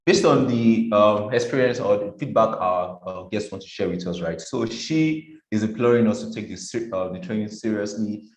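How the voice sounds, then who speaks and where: A low-voiced man moderates an online training call from an echoey room. He keeps the session moving and hesitates often with ums. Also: expressive, moderate pace, hardly any pauses. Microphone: video call.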